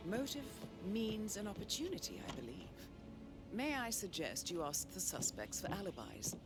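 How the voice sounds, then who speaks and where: Cool, analytical spy handler for a game; mid-range, expressive pitch, dry wit, loud score underneath. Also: female voice, normal speed. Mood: coolly advising. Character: composed, analytical female handler advising an assassin remotely. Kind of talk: video game voice acting. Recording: loud music behind the voice. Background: loud game music.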